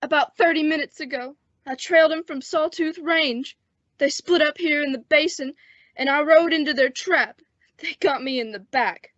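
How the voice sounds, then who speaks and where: A wounded western lawman, played by a young woman, speaks in a high, strained voice. He is resigned and folksy, in a radio play with a dry, dulled sound. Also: moderate pace, fairly bright tone, no echo. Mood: pained, bitter. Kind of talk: old-time western radio drama acting. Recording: dull, dry lo-fi radio recording.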